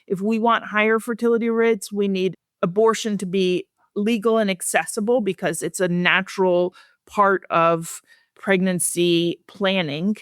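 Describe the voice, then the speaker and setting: A woman speaking as a guest researcher in a podcast interview, measured, earnest and articulate. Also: mid-range voice, clean tone, no echo, clean studio sound.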